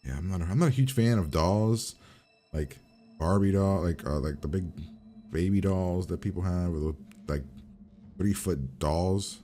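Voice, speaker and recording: A man with a low voice talks in loose, slangy commentary. He is blunt and swears constantly, reacting as he watches. Music plays quietly behind him. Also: normal speed. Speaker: host reacting to a TV episode. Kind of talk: casual profane reaction commentary.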